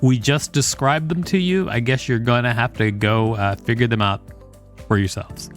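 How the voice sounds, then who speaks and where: A man with a medium-pitched voice co-hosts a podcast. He reads encyclopedia material aloud and adds casual, conversational asides. Soft music plays underneath. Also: moderate pace. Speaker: podcast co-host.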